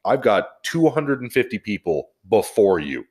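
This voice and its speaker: A man's voice: lowish voice, sing-song, moderate pace, a little mellow. A man with an American accent talking bluntly in a casual group chat as a podcast co-host, swearing freely and stressing his points. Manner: blunt, profane, emphatic. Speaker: auto service advisor.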